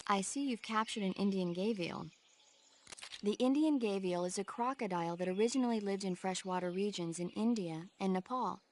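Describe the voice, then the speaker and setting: An actress playing an earnest, knowledgeable support advisor in a video game, explaining things informatively. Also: female voice, medium pitch, normal speed, darkish tone, a little hiss or hum, lightly acted. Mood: informative, cautioning. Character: knowledgeable female radio support advisor, earnest, easily exasperated.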